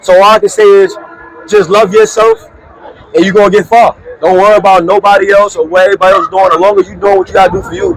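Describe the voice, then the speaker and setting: A man's voice: high voice, expressive, fast, poor audio. A man in his twenties, a calisthenics athlete, talking earnestly and casually about motivation in a street interview. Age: 20s. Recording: outdoor crowd chatter.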